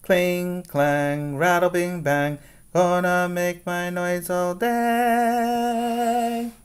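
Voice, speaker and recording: A man voicing a noisy, mischievous little boy in a storybook, chanting loudly in singsong. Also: sits high, fairly even intonation, extremely slow, smooth, faint music behind, pitched above the performer's own voice. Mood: gleeful, defiant.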